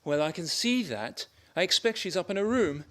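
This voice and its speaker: A woman's voice: on the low side, expressive, normal speed, natural voice. A woman playing a cocky, hot-tempered man in a farcical radio play, bossy and blustering. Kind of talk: stage farce performed as radio play.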